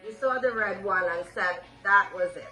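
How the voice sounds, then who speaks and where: A woman's voice: medium pitch, moderate pace, sharp tone. A woman giving a personal farewell tribute to a family member. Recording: echoey room, faint music behind.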